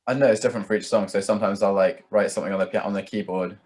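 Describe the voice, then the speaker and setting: A man's voice: lowish voice, fairly even intonation, rapid-fire, a little mellow. A young man chatting casually as an interview guest, a musician who rambles and hedges with plenty of filler words. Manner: rambling, hedging, peppers talk with "like" and "kind of". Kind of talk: casual chat.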